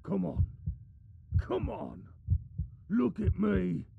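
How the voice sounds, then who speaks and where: A man acting in an ASMR audio roleplay as a devoted, anguished royal lover, pleading and soothing in a halting, tender way. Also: sits high, very animated, vocal fry, husky, full character voice. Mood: desperate pleading. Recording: muffled, echoey, lo-fi.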